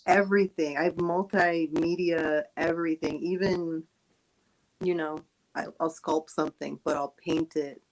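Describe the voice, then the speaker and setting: Slow-speaking woman artist, lower voice. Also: fairly even intonation, low-quality recording. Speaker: artist guest. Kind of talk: casual interview.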